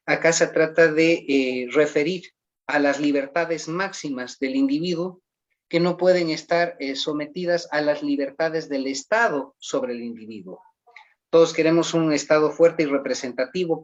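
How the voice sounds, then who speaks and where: A man answering interview questions at length in a measured, formal way, often pausing with "eh". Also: fairly high pitch. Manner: measured, formal, frequent "eh" pauses. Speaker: guest. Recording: dull lo-fi audio.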